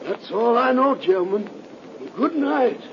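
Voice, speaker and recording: A man's voice: very high-pitched, expressive, unhurried, slight background noise. A man playing a fearful, folksy rural driver with a Southern US accent, giving ominous warnings in a muffled 1930s radio drama.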